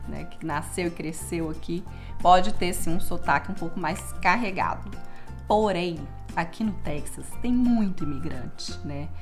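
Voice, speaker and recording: A young Brazilian woman with a Brazilian Portuguese accent, chatting casually in a Q&A vlog and tagging her sentences with little checks to the viewer. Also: lowish voice. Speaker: vlogger living in Texas.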